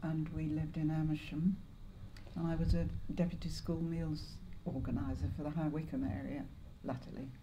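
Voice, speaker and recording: An older English woman in her seventies or beyond, reminiscing in an interview in a measured, halting way with pauses. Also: low voice, flat delivery, noisy background. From Lancashire, northwest England. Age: 70s or older. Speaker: interviewee, longtime community volunteer. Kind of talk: oral history interview, reminiscing.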